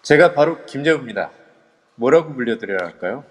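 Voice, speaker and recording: Guy playing a bossy young leader, super expressive voice, echoey app sound. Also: medium pitch, a little fast, natural voice. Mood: lively. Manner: animated, commanding. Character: brisk young man leading the group. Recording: reverb-heavy online audio.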